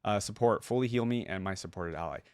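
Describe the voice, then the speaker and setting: A man's voice: on the low side, fast, clean tone, no room sound, studio quality. A man giving casual reaction commentary as a host, speaking informally and thinking aloud.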